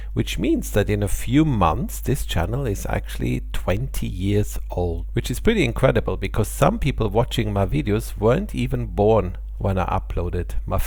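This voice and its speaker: A man's voice: fairly low pitch, gravelly, darkish tone, dry recording. A middle-aged man from Austria, a native German speaker with an Austrian German accent, explaining his channel statistics in a friendly, casual way. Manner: friendly, explanatory, occasional small laughs. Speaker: airline pilot and channel host. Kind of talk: casual talk to camera about channel statistics.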